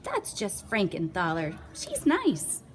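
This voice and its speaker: Woman as a kindly, reassuring storybook monster, animated. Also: lowish voice, slow pace, slight background noise, full character voice. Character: kind, reassuring monster friend of frightened children.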